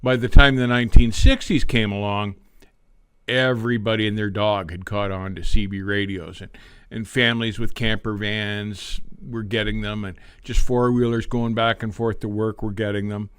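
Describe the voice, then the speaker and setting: An older man talking casually on a live stream like a veteran trucker reminiscing, in a folksy, unhurried storytelling way. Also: lowish voice, level tone, a bit bright, clear, resonant tone, no room sound. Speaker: livestream host, veteran truck driver.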